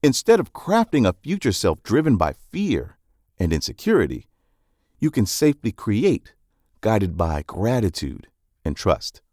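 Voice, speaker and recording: A man reading a scripted self-help audiobook summary in a measured, articulate, instructive way. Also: on the low side, wildly swooping pitch, a little mellow, dry recording, clean studio sound.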